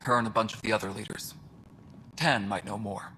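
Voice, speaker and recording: An actor playing a world-weary, blunt alien supervisor in a video game, with faint noise behind him. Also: male voice, on the low side, fairly even intonation, a little fast, pushed and bright. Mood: weary, bitter. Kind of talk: video game dialogue acting.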